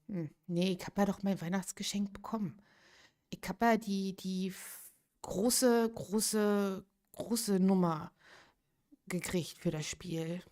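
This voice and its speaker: A woman's voice: on the low side, darkish tone. A woman in her late thirties chatting casually on a live game stream, thinking aloud and answering viewers' chat. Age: 30s.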